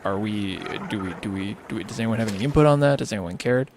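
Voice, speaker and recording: A tired man whose voice is starting to go hoarse, rambling casually and thinking aloud as he hosts a gaming livestream. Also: fairly low pitch, fast talker, darkish tone, a little hiss or hum. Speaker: livestreamer hosting a charity gaming stream. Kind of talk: casual unscripted game-stream chat.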